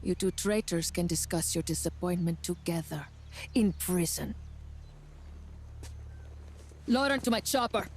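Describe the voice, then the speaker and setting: Woman acting a cold, low-voiced military commander. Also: lively intonation, darkish tone, slight background noise, lightly acted. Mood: harsh, demanding. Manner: formal, measured, authoritative. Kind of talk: video game cutscene acting.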